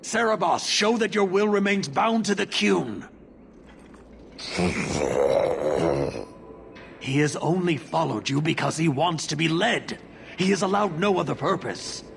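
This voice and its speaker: Stern, gravelly warrior zealot. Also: male voice, sits high, expressive, a bit bright, a little hiss or hum, exaggerated voice. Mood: commanding. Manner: formal, measured, declamatory. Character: stern zealous horned Qunari warrior, commanding and unyielding. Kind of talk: video game acting.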